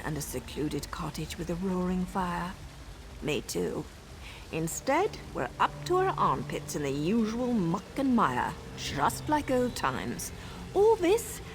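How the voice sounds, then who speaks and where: A woman's voice: mid-range voice, slight background noise. An actress voicing a wry, teasing and self-assured female assassin in a fantasy video game. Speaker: assassin and mentor. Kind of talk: video game character dialogue.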